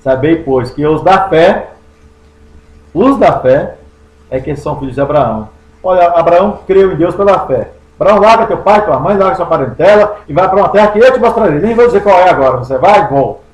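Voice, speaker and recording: A Brazilian pastor reading scripture aloud and explaining it verse by verse, stressing key words and stretching some out slowly. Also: male voice, fairly high pitch, expressive, a little mellow. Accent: Brazilian Portuguese. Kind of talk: Bible study sermon. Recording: echoey room.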